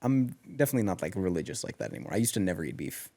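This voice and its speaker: A man's voice: low-pitched, sing-song, racing, vocal fry, warm tone. A young man on a podcast as a guest, chatting in an easygoing way with many "like" fillers. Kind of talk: casual chat.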